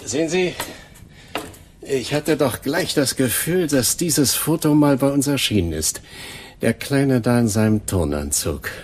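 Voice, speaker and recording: An actor playing a chatty, self-satisfied newspaper archivist in a German radio crime drama, jovial and rambling. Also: male voice, mid-range voice, animated, a little slow, a little mellow, a little hiss or hum, close to an everyday voice. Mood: jovial, pleased.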